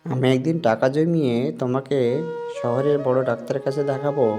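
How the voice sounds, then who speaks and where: A man's voice: medium pitch, fairly even intonation, a little fast, over music, lightly acted. A man voicing a poor young herd boy in a cartoon, earnest and hopeful.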